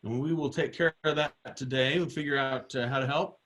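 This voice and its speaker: A middle-aged man with a slightly hoarse voice leading an online product training, informal and rambling with many fillers and restarts. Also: mid-range voice, fairly even intonation, a little fast, a bit bright.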